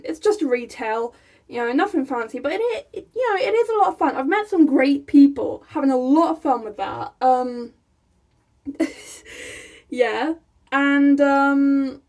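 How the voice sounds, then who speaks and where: A young woman talks straight to her camera in a small room, her voice sitting high. She is chatty and excitable and laughs between thoughts, as in a casual vlog. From England. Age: young adult. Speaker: vlogger. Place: small room by a rainy window, indoors.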